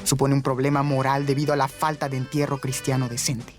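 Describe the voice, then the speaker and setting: Male radio narrator, flat intonation, scripted documentary read with music bed. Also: medium pitch, a little fast.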